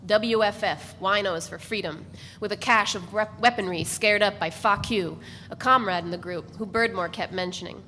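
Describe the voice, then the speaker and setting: Female narrator for a live literary reading, medium pitch, long room reverb, light background noise. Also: normal speed, hardly any pauses, bright, forceful tone. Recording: noisy, reverberant hall recording.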